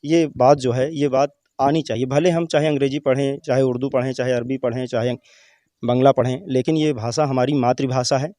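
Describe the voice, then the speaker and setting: Older male teacher-poet, measured and declamatory, dry close sound. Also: mid-range voice, fairly even intonation, warm tone, dry recording. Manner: slow, declamatory poetry recitation and measured explanation. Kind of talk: interview with poetry recitation.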